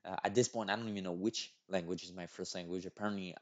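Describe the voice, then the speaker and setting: A man's voice: low voice, steady tone, fast talker. A young man, not a native English speaker, reading and commenting on a thread as a channel host, restarting words and adding tag questions. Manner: repeats and restarts words, many "right?" tags. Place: small room at a desk. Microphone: headset. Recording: dull lo-fi audio.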